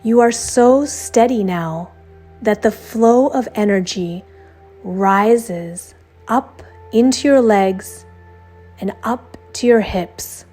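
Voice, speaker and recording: Female meditation guide, unhurried scripted read, mid-range pitch, soft music underneath. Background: soft background music.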